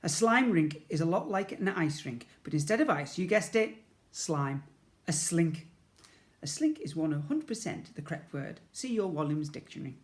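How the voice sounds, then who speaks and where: A man's voice: on the high side, big pitch swings, moderate pace. A man narrating a children's storybook in a playful, dramatic way, acting out sound effects and leaning on repeated words. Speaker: primary school teacher reading to children.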